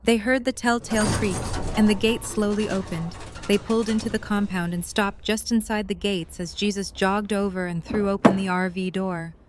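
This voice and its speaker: A woman's voice: mid-range voice, steady tone, moderate pace, background noise. A woman reading scripted third-person narration for an audio drama.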